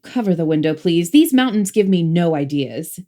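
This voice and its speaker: A woman's voice: fairly low pitch, lively intonation, normal speed, warm tone, close to an everyday voice. A woman playing a haughty, easily horrified passenger of a future world in an audiobook drama, with an imperious, disdainful manner. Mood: bored, dismissive. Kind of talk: audiobook full-cast drama acting.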